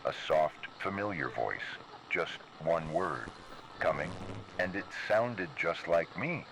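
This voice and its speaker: A man with a very low voice tells a scripted horror story in the first person. Background noise runs under the narration. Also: lively intonation, slow pace, vocal fry.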